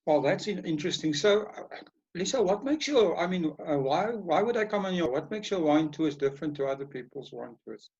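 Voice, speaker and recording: Higher-voiced male interviewer, muffled. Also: expressive, fairly quick, creaky, a little mellow. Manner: hesitant, repeats words. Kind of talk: interview questions.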